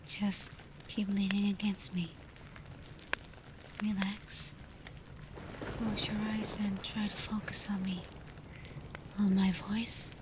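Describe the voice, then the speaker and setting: Soft, slow-whispering female alpha werewolf, acted by a woman. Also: mid-range voice, little pitch movement, close to an everyday voice. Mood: soothing, curious. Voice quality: soft, breathy, often whispered. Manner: slow, gentle, hesitant when confessing feelings. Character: tender, protective female alpha werewolf, affectionate and teasing. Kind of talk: intimate ASMR roleplay addressed to listener. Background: ambient storm noise.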